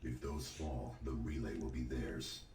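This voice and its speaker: An actor playing a grave, formal military commander giving stern, measured mission briefings in a video game. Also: male voice, a bass voice. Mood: urgent briefing. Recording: echoey game audio with clear noise.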